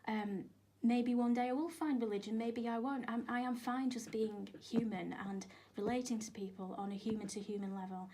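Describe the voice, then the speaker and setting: A woman's voice: fairly high pitch, steady tone, moderate pace, few pauses. Earnest woman yoga teacher on radio. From England. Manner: earnest, hesitant restarts, soft trailing asides. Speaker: yoga nidra teacher and life coach. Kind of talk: radio interview guest.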